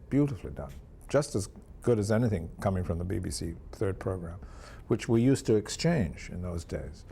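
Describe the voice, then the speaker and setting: A man's voice: low-pitched, creaky, dark tone. An older man reminiscing admiringly in an interview, emphatic and given to repeating his phrases. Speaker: interviewee recalling radio drama history. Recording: noticeable background ambient noise.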